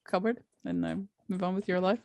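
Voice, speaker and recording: A young woman co-hosts a podcast with wry, laid-back remarks. Her voice sits a little low for a woman, and the recording is dry and close. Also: huge pitch swings, brisk, darkish tone, no room sound. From Norway. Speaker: podcast co-host. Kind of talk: casual chat.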